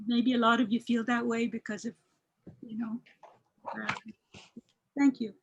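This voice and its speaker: A woman's voice: a little high, little pitch movement, unhurried, breathy edge, lo-fi sound. A woman speaking as a panelist, reflecting earnestly and appreciatively on her experience.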